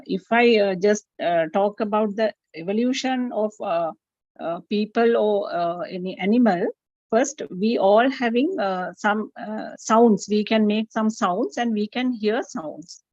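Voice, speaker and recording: A woman from Sri Lanka speaks over a video call, the sound muffled and dry with no room around it. She delivers a presentation, halting often on "uh" as she gathers her thoughts. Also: mid-range voice, a little mellow, no room sound. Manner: hesitant, many "uh" fillers. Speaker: webinar speaker. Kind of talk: presentation talk with many fillers. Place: home during power cut, online call.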